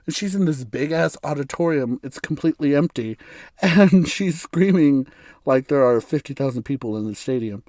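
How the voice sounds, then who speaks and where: A man from the United States hosting a solo podcast, his voice raspy and hoarse from bronchitis, rambling through his opinions with chuckles and frequent restarts. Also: fairly high pitch, animated, normal speed, a little mellow, dry recording, studio quality. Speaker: podcast host. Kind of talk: casual solo podcast monologue.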